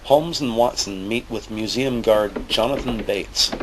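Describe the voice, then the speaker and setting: A man narrating an audio drama from a script in a deliberate, theatrical style. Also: on the low side, background noise. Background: music under the voice.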